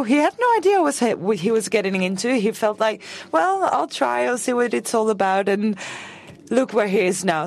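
A man chairing a conference session, earnest and encouraging as he introduces speakers. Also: sits high. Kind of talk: formal introductions.

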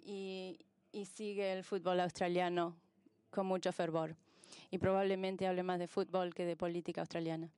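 Smooth-voiced woman interpreter with even, halting delivery. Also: fairly low pitch, no echo. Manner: halting, piecemeal phrasing with self-corrections. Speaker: simultaneous interpreter. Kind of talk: live interpretation of conference speeches.

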